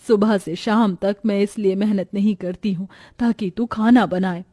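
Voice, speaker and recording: A woman's voice: medium pitch, steady tone, moderate pace, mellow, lightly acted. A woman voicing a weary, self-sacrificing poor mother in a cartoon moral story, earnest and plaintive. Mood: stern, emotional.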